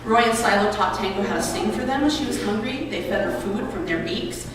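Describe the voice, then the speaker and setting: Woman, read-aloud delivery, mid-pitched, distant mic, noisy room ambience. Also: lively intonation, fairly quick, very echoey, in a large hall. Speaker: reader at a public read-aloud event. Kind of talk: reading a children's book and an essay aloud. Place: college building lobby. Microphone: distant microphone.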